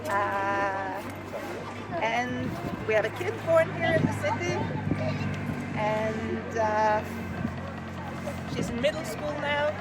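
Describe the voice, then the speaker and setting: A woman from Norway, guiding a walking tour outdoors at a harbor, halting and full of filler sounds. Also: fairly high pitch, lively intonation, over loud music. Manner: halting, many filler sounds, loose grammar. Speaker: tour guide. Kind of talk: guided walking tour talk. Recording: loud outdoor street noise.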